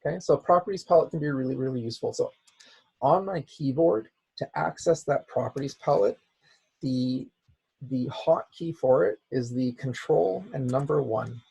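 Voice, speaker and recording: A man with a raspy, hoarse voice, as he is losing it, teaching a software class with many "okay"s. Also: mid-range voice, moderate pace, rough recording. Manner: repeats "okay" as a filler. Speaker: instructor. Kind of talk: software tutorial lecture.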